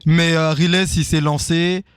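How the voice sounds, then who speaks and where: A young man, a rapper born in Congo and raised in France, talking easily in a radio interview, his voice a bit hoarse after a show. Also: fairly high pitch, flat delivery, unhurried, no room sound, studio quality. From Congo (Kinshasa), grew up in France. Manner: easygoing, repeats "c'est ça", "et cetera". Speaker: rapper guest.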